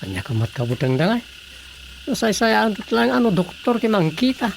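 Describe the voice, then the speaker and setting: Village councilman, radio drama, gravelly, higher voice, noisy background. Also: very animated, moderate pace, full character voice. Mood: concerned. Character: Domeng, a male village councilman, cautious.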